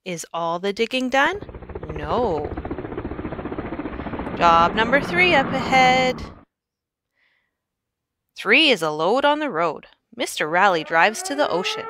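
A woman reading a children's picture book aloud in an expressive, animated storyteller's way with emphatic phrasing. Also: mid-range voice, slight background noise. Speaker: read-aloud narrator.

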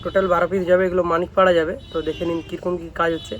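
Young male shop presenter, West Bengali accent, high voice, informal showcase delivery with frequent check-in tags, faint ambient noise. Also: little pitch movement, racing, dull audio. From West Bengal, India. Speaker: speaker repair shop presenter. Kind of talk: informal product showcase vlog.

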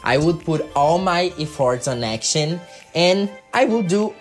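A man's voice: a little high, lively intonation, measured pace, faint music behind. A young man from Brazil, speaking English with a Brazilian Portuguese accent as a second language, telling his story to camera in an earnest way, full of "like" fillers. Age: young adult. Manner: earnest, frequent "like" fillers, gestures with hands. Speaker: YouTuber. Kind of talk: personal story vlog to camera. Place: bedroom with colored lights.

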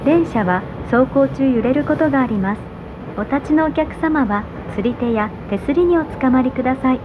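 高めの声の女性の車内放送. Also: female voice, high voice, expressive. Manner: careful, measured station-name enunciation. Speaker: subway train announcer. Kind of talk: scripted transit announcements, polite and formal. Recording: dull in-car speaker recording, loud train noise. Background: running subway train noise.

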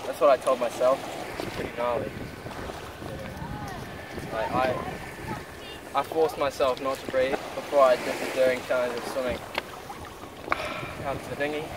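Young man, casual mock-heroic telling, recorded far off in loud wind. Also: mid-range voice, fairly even intonation, unhurried, pauses often. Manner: laid-back, mock-dramatic storytelling. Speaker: family member, middle child.

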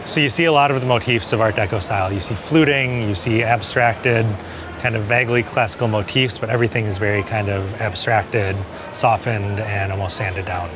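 A man's voice: lowish voice, fairly even intonation, a little fast. Man explaining buildings on a noisy street. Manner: explanatory, conversational, frequent "kind of" hedges. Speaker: architect. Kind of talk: on-camera walking tour commentary. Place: outdoors on a city street. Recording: city street noise behind.

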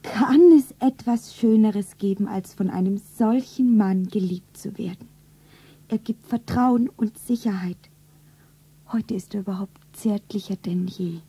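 An actress playing a lovestruck, anxious young shop girl in a romance radio play, breathy and often whispering, dreamy and sentimental. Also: medium pitch, measured pace, mellow. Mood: wistful. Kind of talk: 1970s German radio play, pulp romance.

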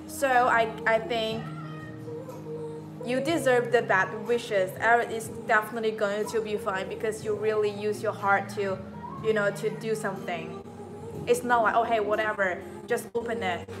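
A young woman with a Chinese accent hosting a live stream in a beauty showroom, chatting to viewers and repeating her words. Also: medium pitch. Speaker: live stream host selling beauty machines. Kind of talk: live stream chat reading viewer comments. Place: indoor showroom with beauty machines.

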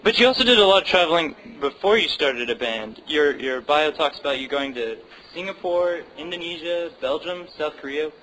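A man interviews a musician with prepared, slightly formal questions. His voice is bright and sits a bit high, with faint room noise behind. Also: lively intonation, moderate pace, rough recording.

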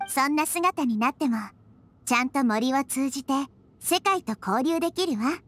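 A woman voicing a tiny, childlike nature goddess in an anime game, soft and girlish, speaking in a measured, earnest, gentle way. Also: high voice, expressive. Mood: gentle, solemn. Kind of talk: Japanese anime game voice acting. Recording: studio voice over background music.

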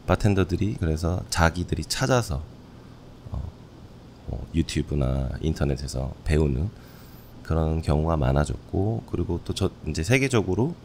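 A man, a bartender guest, giving casual interview answers at a close microphone in a bar lounge. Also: a little mellow. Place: wood-panelled bar lounge, indoors. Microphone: close podcast microphone on stand. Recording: low rumble and faint room noise.

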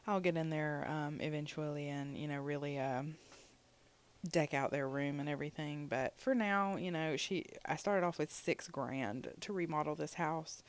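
A young woman with a low voice talks loosely over her gameplay, full of ums and side remarks. She sounds a little sniffly, as if her nose is stuffed. It is a home-recorded voiceover, relaxed and chatty. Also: normal speed. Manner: rambling, chatty, many fillers. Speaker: gaming channel host. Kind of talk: casual voiceover commentary on a building game.

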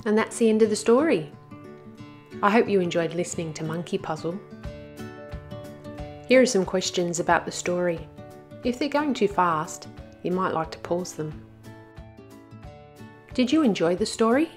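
A woman's voice: lowish voice, expressive, normal speed, pauses often, faint music behind. A woman storyteller presenting a picture book read-aloud to young children, addressing them directly.

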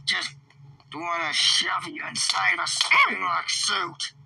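A man's voice: high-pitched, big pitch swings, slow pace, gravelly, bright, forceful tone. A man roleplaying a taunting, short-tempered animatronic villain in a casual online game, speaking with menace. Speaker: player in a Minecraft roleplay. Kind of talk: in-character gaming roleplay with friends.

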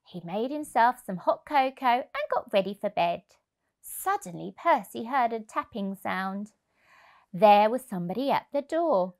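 Female storybook narrator, thirties, higher-pitched, very lively intonation, deliberate delivery for young children. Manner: expressive, deliberate. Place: indoor room, on a sofa. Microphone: lapel mic.

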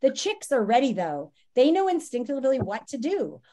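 A woman's voice: high voice, sing-song, moderate pace, mellow. A woman reads a children's nonfiction book aloud like a teacher, expressively and dramatically, with asides and questions to her listeners. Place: small room at home.

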